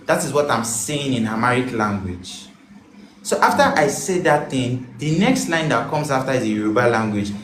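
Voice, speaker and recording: A singer-songwriter man chatting as an interview guest, animated and breaking into song as he talks. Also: medium pitch, moderate pace, slight background noise. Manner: animated, bursts into song mid-sentence. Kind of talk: casual chat. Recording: roomy echo.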